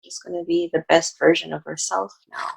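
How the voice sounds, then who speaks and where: A woman practitioner in a casual chat about a healing session, talking in an animated, rambling way with frequent asides. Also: fairly low pitch, brisk, vocal fry, breathy edge, rough recording.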